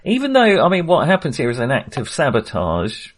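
A man's voice: mid-range voice, huge pitch swings, a little fast. A man co-hosting a casual film discussion in a conversational, hedging manner. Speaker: film podcast co-host.

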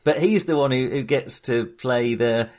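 A man's voice: medium pitch, unhurried, darkish tone. A man talking casually as a podcast host discussing films, enthusiastic but hesitant and rambling as he goes.

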